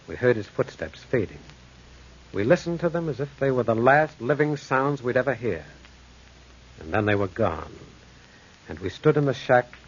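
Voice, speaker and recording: A man's voice: medium pitch, husky. A man playing an earnest railway man telling a supernatural tale with theatrical intensity and suspense, in old radio style. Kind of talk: 1940s-style dramatic radio narration. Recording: dull, faintly noisy old radio recording.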